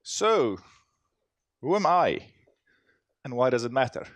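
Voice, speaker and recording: A man's voice: medium pitch, big pitch swings, slow pace, dry recording. A man from South Africa with a South African accent, giving a conference talk in a chatty, self-deprecating way with many fillers and restarts. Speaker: conference speaker. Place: conference hall stage.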